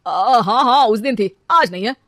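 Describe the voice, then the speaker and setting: A woman's voice: fairly high pitch, expressive, moderate pace, fairly bright tone, no room sound, clean studio sound. A woman voicing a boastful young husband in a cartoon, a shameless liar who glibly justifies himself. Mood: glib, defensive. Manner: glib, hesitates then brazenly justifies himself. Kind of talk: animated cartoon dialogue.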